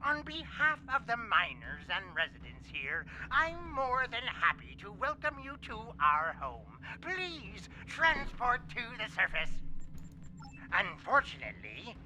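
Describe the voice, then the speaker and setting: An actress voicing a gracious, politely insistent colony administrator in a game. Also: female voice, on the high side, huge pitch swings, measured pace, bright, forceful tone, slight background noise, full character voice, poor audio. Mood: welcoming. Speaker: game character. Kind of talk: voiced game dialogue.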